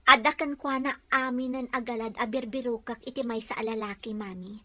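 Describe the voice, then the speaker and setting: A woman's voice: a little high, steady tone, a little fast, pushed and bright. A woman with a Filipino accent acting a sincere, devoted young bride-to-be in an amateur audio drama. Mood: pleading. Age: young adult.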